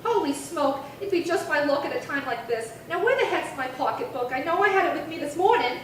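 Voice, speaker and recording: Woman acting brash slangy showgirl, radio play, high pitched, echoey hall, distant mic. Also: animated, a little fast, slight background noise. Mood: wary. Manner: wisecracking, sassy, complaining. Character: brash, slangy struggling young model and actress. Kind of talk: 1950s radio crime drama acting. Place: live theatre stage reading.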